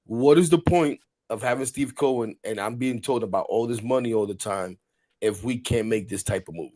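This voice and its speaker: A man in his forties hosting a casual sports talk show, animated and rambling, repeating words and laughing often. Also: mid-range voice, normal speed. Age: 40s. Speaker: podcast host.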